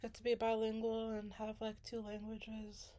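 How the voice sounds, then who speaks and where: A woman's voice: on the high side, completely flat delivery, normal speed, dark tone. A young woman from Sudan chatting casually as a graduate student, rambling with many fillers and restarts. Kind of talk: casual chat.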